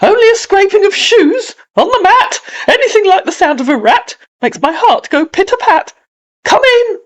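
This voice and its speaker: A timid, flustered old mayor from a storybook poem, played by a woman with an English accent. The voice is high and swoops about in fretful exclamations. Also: lively intonation, moderate pace. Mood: alarmed, jumpy.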